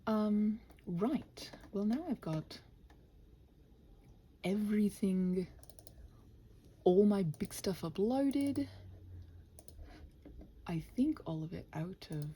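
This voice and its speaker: A woman rambling casually to listeners as she works, muttering and thinking aloud in a self-deprecating way, her soft voice often dropping near a whisper. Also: medium pitch, very slow, long pauses, husky. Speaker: audio roleplay and ASMR creator.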